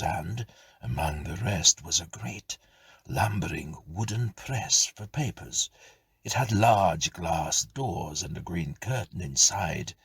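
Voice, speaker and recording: A male storyteller reads a Victorian ghost story in a low, very husky voice with a gravelly edge. The delivery is measured and suspenseful, sinking at times into a hush. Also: no echo.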